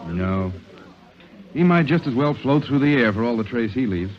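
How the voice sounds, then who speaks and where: A man's voice: mid-range voice, expressive, moderate pace, lightly acted. An actor playing a blunt, skeptical male police investigator in a 1940s-style radio drama. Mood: suspicious. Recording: muffled, faintly noisy vintage radio recording.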